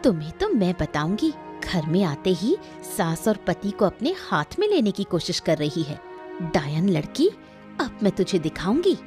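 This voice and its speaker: Woman acting a low-voiced, spiteful mother-in-law. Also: big pitch swings, normal speed, a little mellow, soft background music. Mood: vengeful. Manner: scornful, threatening. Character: scheming, spiteful mother-in-law of a rich household. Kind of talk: Hindi cartoon moral-story dubbing.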